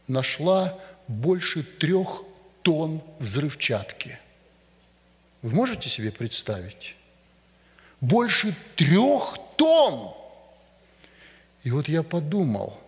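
A man preaching a sermon to a congregation in a church, speaking emphatically and deliberately. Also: on the high side. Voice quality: emphatic, rising and falling for stress, slow deliberate delivery. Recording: long reverb of a church hall.

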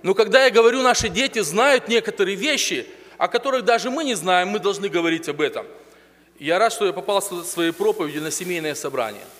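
A man's voice: high-pitched, animated, brisk, a bit bright. A man preaching a sermon in a conversational way, with hesitations and questions to the congregation, in a church hall. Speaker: pastor. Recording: long reverb of a church hall.

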